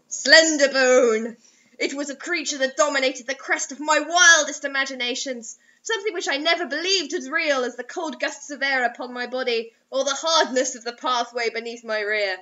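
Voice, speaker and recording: Young woman, high voice, hamming up a dramatic read-aloud with wry asides; lo-fi webcam recording. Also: moderate pace, pushed and bright. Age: young adult. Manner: theatrical, overwrought. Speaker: co-host reading bad fiction aloud. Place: small indoor room. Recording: dull lo-fi webcam sound.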